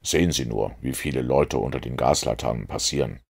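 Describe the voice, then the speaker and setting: A shrewd, methodical storybook detective acted out by a man reader speaks in an extremely low voice. It crackles with gravel as he lays out his reasoning deliberately, fully sure of himself. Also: full character voice. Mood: watchful. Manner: deliberate, explanatory.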